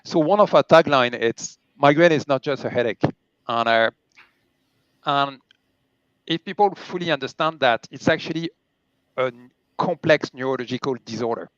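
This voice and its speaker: A middle-aged man talks casually, poking fun at himself. His voice is clean and smooth. The audio is dry and a little dull. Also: medium pitch, moderate pace, pauses often, fairly bright tone, no echo. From France and Germany. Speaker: interview guest, charity chief executive. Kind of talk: casual, self-deprecating chat.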